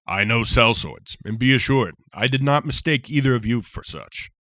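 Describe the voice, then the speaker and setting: A man's voice: medium pitch, lively intonation, moderate pace, bright, forceful tone, no room sound, studio quality, pitched above the performer's own voice. Man doing a hearty giant soldier. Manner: hearty, rough folksy speech. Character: giant jovial male soldier, gentle and talkative. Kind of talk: audiobook character voice.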